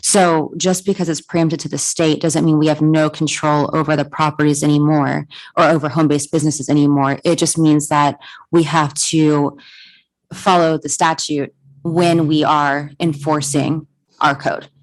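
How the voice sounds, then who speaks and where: A soft-spoken woman serving as city attorney, methodically explaining an ordinance at a commission meeting. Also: sits low, little pitch movement, normal speed, poor audio. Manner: clarifying, methodical.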